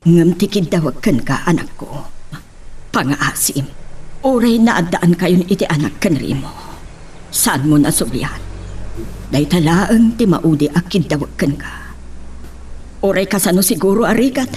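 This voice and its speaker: Woman as worried ilocano mom in a drama, lowish voice, soothing, bit of background noise. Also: expressive, normal speed, long pauses, darkish tone, close to an everyday voice. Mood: tender, imploring. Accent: Filipino, Ilocano. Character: caring, worried mother comforting her grown daughter. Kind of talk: Ilocano radio-style drama acting. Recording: noisy track with background ambience.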